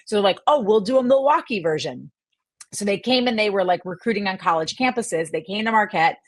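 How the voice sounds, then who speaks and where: A woman's voice: mid-range voice, brisk, dry recording. A woman in her forties from Wisconsin, a sports journalist guest, telling stories with lots of laughs. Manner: animated storytelling, laughs often. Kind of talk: casual chat.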